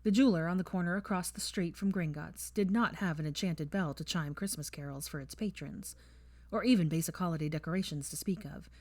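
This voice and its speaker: A woman with a fairly low voice reads a story aloud at an even, measured pace. The recording is dry and close, with no room echo. Her delivery stays steady, like a reader guiding listeners through each scene. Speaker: audiobook reader. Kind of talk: fan fiction audiobook narration.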